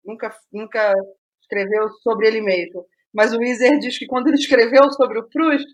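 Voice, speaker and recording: A Brazilian woman with a fairly high voice talks in a relaxed interview setting. She reflects as she speaks, feeling her way through answers and often digressing. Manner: digressive, thinking aloud. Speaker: interviewed playwright. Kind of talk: reflective casual interview conversation.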